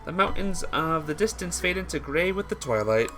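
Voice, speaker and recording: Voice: mid-range voice, expressive, normal speed, sharp tone. A streamer reading a visual novel aloud, often stumbling over words and correcting the slip, with music behind. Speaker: streamer, game player.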